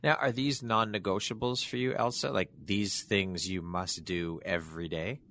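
A man's voice: low-pitched, steady tone, moderate pace, a bit bright, dry recording. A man hosting an interview, asking curious questions and affirming his guest's answers. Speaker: interview host. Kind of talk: casual interview conversation.

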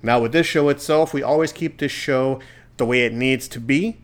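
A man's voice: mid-range voice, moderate pace. A man hosting his own solo podcast from a studio, talking in an earnest, encouraging way with a radio-DJ delivery. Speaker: podcast host. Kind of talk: casual solo podcast monologue. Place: home studio.

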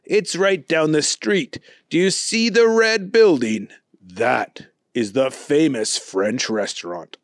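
Helpful old man, calm and slow, voiced by the narrator. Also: medium pitch, no echo, clean studio sound, natural voice.

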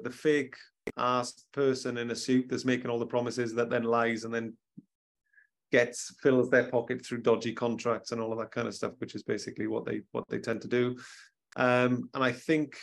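Man, rambling spiritual podcast guest. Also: mid-range voice. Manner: rambling, many ums and restarts. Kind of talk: casual conversational interview.

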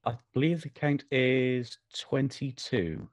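A man's voice: mid-range voice, animated, takes it slowly, no room sound. A man answering casually as a guest on a podcast. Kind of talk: casual chat.

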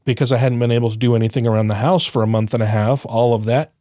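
A man's voice: mid-range voice, steady tone, fast talker, dark tone, no echo, studio quality. A man co-hosting a podcast in casual chat, his voice still a bit congested and nasal, rambling, swearing freely and laughing often. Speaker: podcast co-host.

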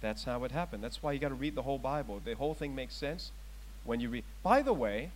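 A man's voice: medium pitch, expressive, fast talker, a little hiss or hum, low-quality recording. A middle-aged man preaching a sermon as pastor, emphatic and asking his congregation rhetorical questions. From Thailand. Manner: emphatic, rising loud on scripture readings and questions.

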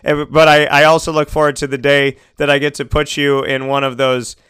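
A man's voice: on the high side, little pitch movement, fairly quick, fairly bright tone, clear, resonant tone, no echo. An American man hosting a casual radio show, talking in a rambling, emotional, self-interrupting way.

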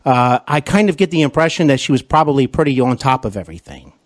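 A man's voice: mid-range voice, lively intonation, fast, no room sound. Dry-miked man hosting a podcast. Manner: enthusiastic, complimentary, hesitant with fillers. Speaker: podcast host. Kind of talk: interview podcast.

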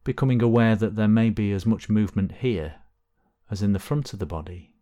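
Low-voiced man guiding a meditation. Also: muffled recording. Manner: gentle, unhurried instruction with long pauses. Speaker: meditation guide. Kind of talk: guided body scan meditation.

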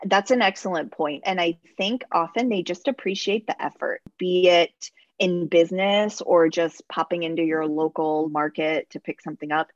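Low-voiced woman on a dull, dry line. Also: moderate pace, few pauses, dry recording. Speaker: guest, travel business owner. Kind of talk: casual interview chat.

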